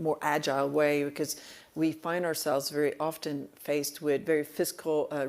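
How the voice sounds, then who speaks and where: Woman moderator, low voice, hesitant and thoughtful. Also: darkish tone. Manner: thoughtful, many fillers and restarts. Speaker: panel moderator. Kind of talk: conference panel discussion.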